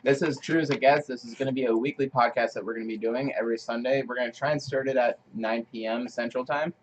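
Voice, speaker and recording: Mid-pitched man chatting with faint background noise. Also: steady tone, fast, muffled recording. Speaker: podcast co-host. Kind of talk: casual joking chat.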